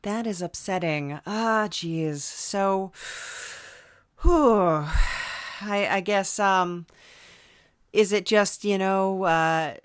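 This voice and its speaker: Slow, hesitant woman hosting, close dry sound. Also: medium pitch, smooth, no room sound. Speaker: podcast host and interviewer. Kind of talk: casual, playful comedy interview.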